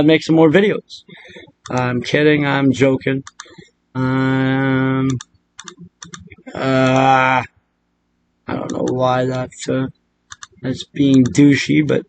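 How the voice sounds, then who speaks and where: A man hosting a casual software tutorial, rambling and muttering asides to himself in a crude, joking, profane way. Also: medium pitch, little pitch movement, slow pace, pauses often, a little hiss or hum. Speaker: video tutorial host.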